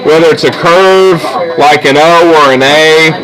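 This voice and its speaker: A man's voice: a little high, measured pace, a crowd in the background, poor audio. A man teaching a drawing lesson step by step in a casual way, often checking that his listeners follow. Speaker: art teacher.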